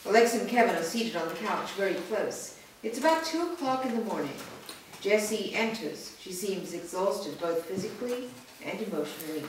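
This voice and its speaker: A woman's voice: medium pitch, lively intonation, moderate pace. A woman reading scripted stage directions at a staged reading, recorded in an echoey room. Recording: echoey room, distant mic, faint noise.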